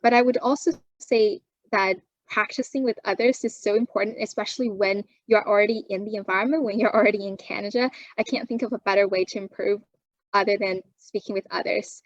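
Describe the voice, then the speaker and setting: A young woman from China, whose first language is Chinese, answers in a calm, considered way and pauses to gather her thoughts. Her voice sits in an ordinary middle register. The recording is dry, with no room echo. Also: mid-range voice, dull audio. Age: young adult. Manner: measured, thoughtful, frequent um fillers. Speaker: guest. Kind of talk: interview answers.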